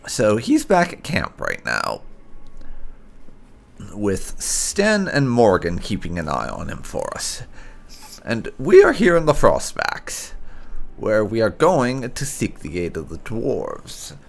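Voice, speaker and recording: A man's voice: on the low side, wildly swooping pitch, takes it slowly, creaky. A man giving casual, sweary and wry commentary as the host of a gaming video.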